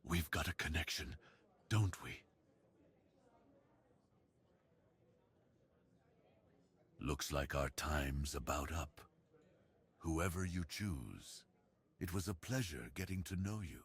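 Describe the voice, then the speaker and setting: Man voicing a very deep, husky brooding werewolf. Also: exaggerated voice. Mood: tender, hopeful. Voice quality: husky, low, breathy. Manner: brooding, slow, confiding. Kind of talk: intimate ASMR roleplay monologue.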